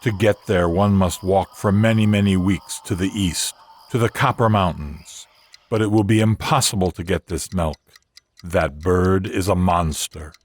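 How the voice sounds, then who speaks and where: A man playing the Sun as a grave, all-knowing brother in a children's fairy-tale cartoon, solemn and deliberate. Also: deep voice. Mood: solemn warning. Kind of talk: children's storybook cartoon voicing.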